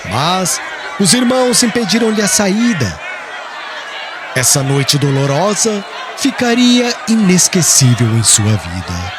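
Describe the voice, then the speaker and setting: A Brazilian man reading an audiobook as dramatic scripted narration, in a measured, formal and theatrical storytelling manner. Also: high-pitched.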